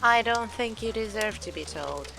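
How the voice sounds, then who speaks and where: Earnest young lady who believes in ghosts, audio drama, dramatic storyteller, music clearly under it. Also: on the high side, lively intonation, moderate pace, pushed and bright, exaggerated voice. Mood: earnest, mysterious.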